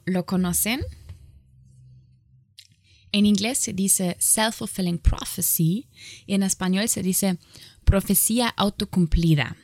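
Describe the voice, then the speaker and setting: Slow, halting woman lecturing in a second language. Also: fairly low pitch, no echo, clean studio sound. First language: not Spanish. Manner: halting, self-correcting, with frequent pauses. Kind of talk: online lecture.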